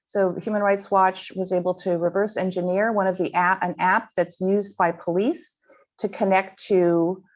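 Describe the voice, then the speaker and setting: A woman giving a slide presentation to a school audience, earnest and halting, with frequent ums and restarts. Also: mid-range voice, flat delivery. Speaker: guest speaker presenting slides.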